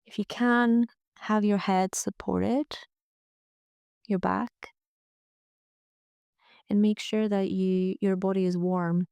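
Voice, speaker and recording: A woman leading a guided relaxation meditation in a gentle, soothing, unhurried way, with frequent pauses. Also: mid-range voice, fairly even intonation, creaky, clean tone, no echo. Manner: gentle, soothing, pausing often. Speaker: yoga nidra guide.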